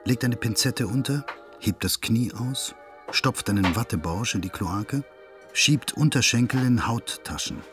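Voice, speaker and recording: Radio drama casting: male actor as detached narrator, mid-pitched, terse and clinical, light music behind. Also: measured pace, a bit bright, lightly acted. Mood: clinical, ominous.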